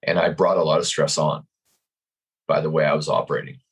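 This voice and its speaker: A man with a very low voice and a husky, breathy edge talks slowly through his thoughts over a video call. He is in his fifties, calm and reflective, and often stops to restart a sentence or repeat a word. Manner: calm, searching, many restarts and repeated words. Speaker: podcast guest. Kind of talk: reflective interview talk.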